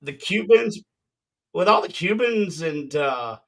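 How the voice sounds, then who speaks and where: A man's voice: sits high, lively intonation, slow pace, gravelly. A man hosting a casual podcast over a video call, joking and rambling with his guests. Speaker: podcast host. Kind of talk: casual chat on a livestream.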